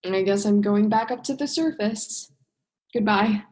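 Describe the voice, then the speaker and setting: A woman's voice: mid-range voice, a little slow, darkish tone, natural voice. A young woman acting an earnest, principled diver in a student radio play. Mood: friendly, apologetic. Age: young adult.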